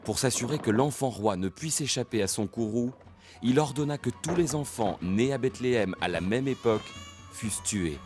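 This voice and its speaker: A man giving formal, measured scripted narration for an animated Bible story. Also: medium pitch.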